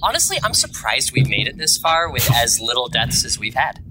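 A young man in his late twenties jokes with his gaming group. His pitch swings widely as he talks. A clear layer of noise sits under his voice. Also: brisk. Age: 20s. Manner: animated, joking. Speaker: tabletop game player. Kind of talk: casual chat among players.

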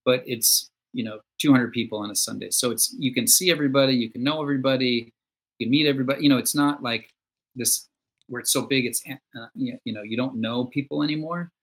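A man's voice: medium pitch, fast talker, fairly bright tone, husky, rough recording. A man being interviewed as a songwriter guest, talking in a reflective, conversational way. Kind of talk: casual conversation.

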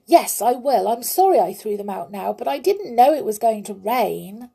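Woman acting naughty girl doll, storybook, hot-tempered, pushy, husky, swooping pitch. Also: lively intonation, moderate pace, dark tone, heavily acted. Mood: sorry, eager. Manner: pushy, defiant, emphatic. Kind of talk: children's storybook character voice.

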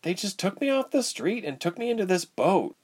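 Man putting on an awkward young woman, pitched up. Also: big pitch swings, normal speed, slightly rough, heavily acted. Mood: shaky. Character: shaky, awkward, defensive young woman captive.

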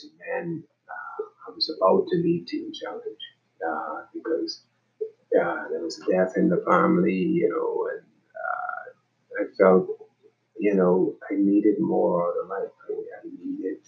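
Deep gravelly Bahamian man. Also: lo-fi sound. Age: 40s. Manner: halting, restarts and repeats words. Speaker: nonprofit executive director. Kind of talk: casual personal introduction.

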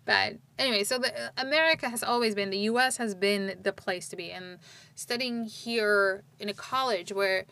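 A woman in her forties who grew up in Turkey, speaking in a relaxed, conversational way. She rambles a little, circles back and restarts her sentences as she thinks aloud, with an everyday mid-range voice. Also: medium pitch, normal speed, creaky, no echo. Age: 40s. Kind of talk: casual conversation.

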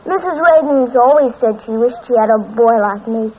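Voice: medium pitch, moderate pace, a little hiss or hum, close to an everyday voice. An actor playing a plaintive, reluctant young boy with a whiny, childlike manner in an old-time radio drama. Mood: glum, reluctant. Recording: tinny, narrow 1940s radio recording.

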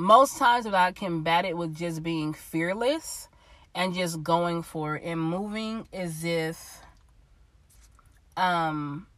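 A woman's voice: fairly low pitch, fairly bright tone, dry recording. A young woman hosting her own podcast from inside a car, chatty and slangy, a little congested from a lost voice. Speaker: podcast host. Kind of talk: casual solo podcast talk.

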